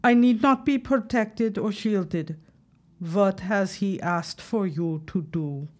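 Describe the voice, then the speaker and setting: An actress plays a concerned, devoted wife who works as a cook, in an audio drama. Her voice sits at a middle pitch. Her phrasing is earnest, stilted and old-fashioned. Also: takes it slowly, natural voice. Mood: concerned, insistent.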